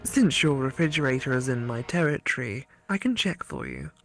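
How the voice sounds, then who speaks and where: A woman's voice: rumbling low, sing-song, normal speed, creaky, soft background music, full character voice. Woman voicing a teasing, studious ghost classmate. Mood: serious, curious. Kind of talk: visual novel character read aloud for a let's play.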